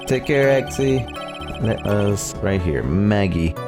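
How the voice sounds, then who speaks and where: A man's voice: lowish voice, animated, slow pace. A man streaming a video game, reading its dialogue aloud in a dramatic, theatrical way. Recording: game music behind the voice.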